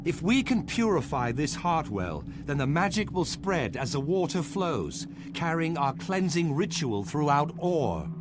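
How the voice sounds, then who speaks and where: An actor playing a determined ally who leads a ritual to cleanse a cursed land, speaking earnestly in a game cutscene. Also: male voice, a little high, measured pace, few pauses, background noise. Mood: resolute. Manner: earnest, resolute, steady. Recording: game audio over music.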